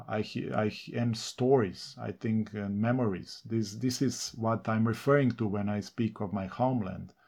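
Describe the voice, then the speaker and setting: A male novelist from Slovenia, a native Serbo-Croatian speaker, answering interview questions reflectively in English with many pauses, fillers and restarts. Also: on the low side, vocal fry, darkish tone.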